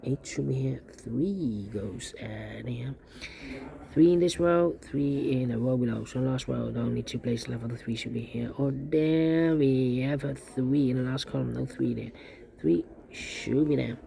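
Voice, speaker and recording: A man's voice: mid-range voice, a little slow, gravelly, mellow. A man giving a step-by-step puzzle tutorial, thinking aloud and often repeating short phrases as he mutters to himself. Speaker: puzzle instructor.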